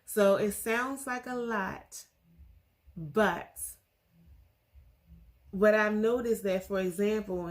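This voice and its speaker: A woman in her forties talking earnestly about her faith in a casual vlog, pausing often with fillers. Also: medium pitch. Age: 40s. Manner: earnest, halting with many fillers. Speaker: vlogger.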